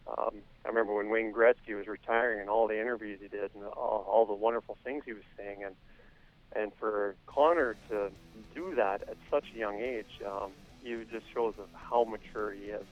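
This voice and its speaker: A man with a fairly low voice gives a phone interview as a hockey coach. He speaks in a measured, hesitant way, feeling for his words. Faint music runs behind him. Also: steady tone, highs cut off.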